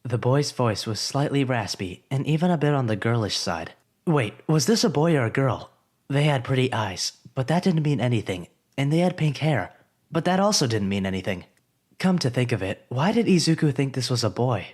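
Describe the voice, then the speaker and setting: A man narrating with lively pitch. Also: moderate pace. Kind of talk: scripted story narration.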